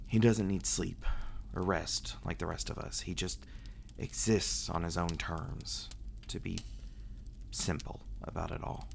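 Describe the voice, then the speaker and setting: A low-voiced, gravelly vampire acted by a man speaks in an intimate roleplay drama style. He is brooding and earnest, confiding in halting phrases with dramatic pauses. There is faint noise behind the voice. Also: exaggerated voice. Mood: somber, explaining. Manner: halting, hesitant delivery with dramatic pauses and sighs. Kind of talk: ASMR roleplay audio drama acting.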